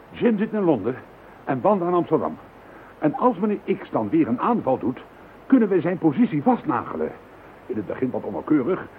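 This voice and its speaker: Een man die in een hoorspel een sceptische, kordate hoofdinspecteur van politie speelt. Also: a little high. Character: gruff skeptical male police chief inspector. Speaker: chief inspector. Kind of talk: radio play acting. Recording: lo-fi phone-band radio recording with faint hiss.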